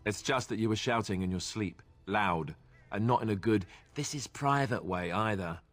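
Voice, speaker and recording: An actor playing a friendly, self-deprecating young warrior in a fantasy video game, conversational and wry. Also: male voice, fairly low pitch, normal speed, soft background music, natural voice. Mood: concerned, explaining.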